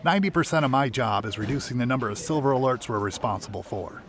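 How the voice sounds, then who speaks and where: A man's voice: mid-range voice, fast talker, fairly bright tone, close to an everyday voice. A man playing a guarded, sarcastic hospital security worker in an audio drama, curt and weary. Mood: bitter, sarcastic. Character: bitter, guarded hospital security contractor, reluctant old friend.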